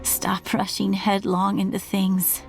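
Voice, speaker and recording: A woman playing a wounded, devoted younger sister in a video game, speaking weakly and haltingly with breathy sighs. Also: medium pitch, monotone, soft background music, poor audio. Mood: weary, tender. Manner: halting, weak, gentle. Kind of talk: dramatic video game voice acting.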